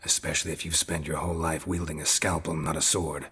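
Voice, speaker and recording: An actor playing a stoic, gruff monster hunter in a video game, speaking tersely and deadpan in a gravelly voice. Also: male voice, fast talker, heavily acted. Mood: wry, skeptical. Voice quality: gravelly, husky low voice.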